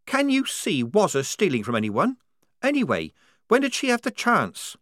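A man voicing a quick-witted young woman soldier who talks fast and urgently to cover things up. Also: high voice, huge pitch swings, fairly bright tone, dry recording, clean studio sound, voice pushed up, full character voice.

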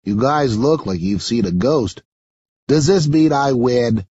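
A man's voice: medium pitch, unhurried, dark tone, husky, no room sound, highs cut off. A man voicing an earnest, matter-of-fact teenage boy who loves conspiracy theories, in an audiobook.

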